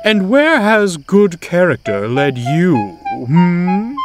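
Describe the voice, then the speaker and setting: A pompous, self-important engine, as a man puts him on lords it over everyone in a raised, loud, theatrical voice. He talks down to others with a swooping, showy delivery. A loud music score plays behind. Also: sits high, big pitch swings, slow, voice pushed up, heavily acted. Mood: condescending. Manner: grandiose, condescending, theatrical. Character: boastful male blue tank engine, pompous and vain.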